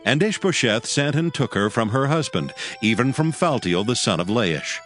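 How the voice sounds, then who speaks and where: A man narrating dramatized scripture from a script in a measured, formal manner. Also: medium pitch, very animated, a bit bright, faint music behind.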